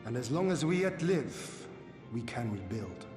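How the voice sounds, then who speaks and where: An actor playing a resolute young lord in a fantasy game, formal and commanding in his deliberate speech. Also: mid-range voice, sing-song. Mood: solemn, resolute. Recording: roomy, long reverb, clear music behind.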